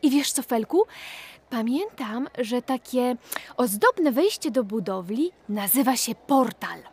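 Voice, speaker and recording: Lively high-voiced curious young girl. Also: measured pace, husky, heavily acted. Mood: eager explaining. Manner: eager, explanatory, teaching her brother. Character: bright, curious, bookish young girl, big sister. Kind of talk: scripted children's audio play.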